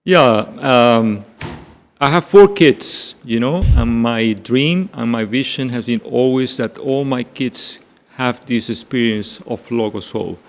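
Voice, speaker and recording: A man's voice: mid-range voice, slow. A middle-aged man from Ecuador, a native Spanish speaker with an Ecuadorian accent, answering earnestly as an interview guest. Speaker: ship director, interview guest.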